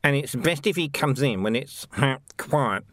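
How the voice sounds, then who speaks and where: A man voicing a deferential, placating lowly underling in an audiobook, murmuring excuses. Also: animated, slow pace, a bit bright, no echo, studio quality.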